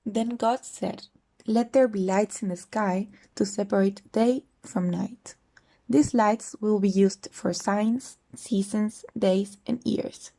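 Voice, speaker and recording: A woman's voice: medium pitch, vocal fry, dark tone. A woman reading a scripture story aloud from a text. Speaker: volunteer scripture reader.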